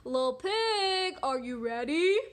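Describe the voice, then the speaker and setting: A woman's voice: high voice, animated, takes it slowly. A woman voicing a sly, scheming storybook wolf who coaxes and calls out invitations. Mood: coaxing. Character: sly, scheming big bad wolf out to trick.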